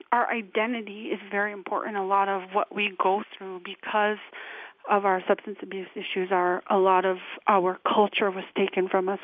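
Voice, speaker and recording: A woman calls in to a radio show and speaks earnestly, often pausing to find her words. Her voice comes through a narrow, dry phone line. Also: mid-range voice, no echo. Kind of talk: call-in radio comment.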